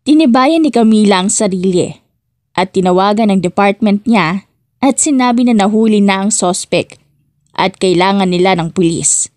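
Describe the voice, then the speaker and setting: A woman's voice: mid-range voice, lively intonation, moderate pace, darkish tone, no room sound, studio quality. A woman reading scripted dramatic narration as the narrator of an audiobook love story.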